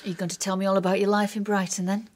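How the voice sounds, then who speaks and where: A woman's voice: medium pitch, flat delivery, rapid-fire, lightly acted. A woman acting in a radio drama as a guarded estranged wife who speaks curtly and dryly. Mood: guarded, cool. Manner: curt, dry.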